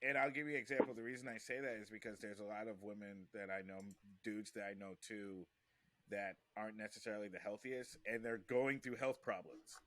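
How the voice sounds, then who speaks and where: A man's voice: on the low side, fairly even intonation, a little fast, fairly bright tone, a little hiss or hum. A man chatting casually as a podcast host, rambling and repeating himself to get his point out. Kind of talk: casual chat.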